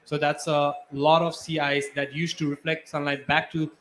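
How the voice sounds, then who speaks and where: Male researcher, slightly high voice, earnest explanatory panel talk, faint background noise. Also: flat delivery, normal speed, a bit bright. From Nepal.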